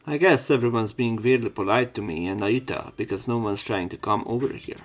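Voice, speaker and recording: A man with a medium-pitched voice reads a visual novel aloud for a let's play. His delivery is casual and irreverent, given to sweary asides, with game music behind him. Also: brisk.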